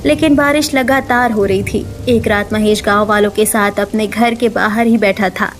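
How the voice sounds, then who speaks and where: A woman's voice: mid-range voice, fairly even intonation, normal speed. A woman narrating a scripted story as a storyteller.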